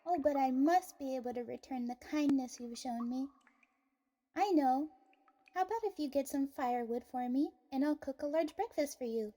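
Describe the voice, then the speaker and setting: Plucky little farm girl, played by a woman, high-pitched, earnest and polite, fantasy audio drama. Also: normal speed, warm tone, natural voice. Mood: grateful.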